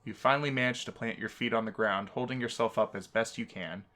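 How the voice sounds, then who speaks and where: Bright-voiced man on a gaming channel. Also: on the low side, fairly quick. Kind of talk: casual game playthrough commentary and reading.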